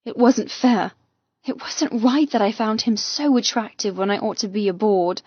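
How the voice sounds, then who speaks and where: Lady reading a steamy novel, intense and dramatic, medium pitch, slightly dull audio with no room sound. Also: normal speed, a little mellow, breathy edge, no room sound. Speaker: audiobook narrator. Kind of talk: dramatic first-person romance audiobook narration.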